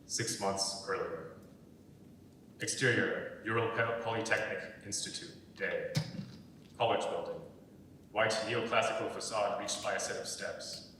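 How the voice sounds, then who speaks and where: A man's voice: unhurried, sharp tone. A man reading scripted narration and stage directions for a dramatic reading. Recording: echoey hall, distant mic, faint rumble.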